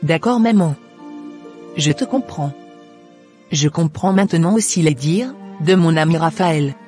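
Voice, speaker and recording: Young cartoon daughter played by a man, high voice, earnest and easily troubled, faint music behind. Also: lively intonation, measured pace, a little mellow. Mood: disappointed. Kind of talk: scripted cartoon dialogue.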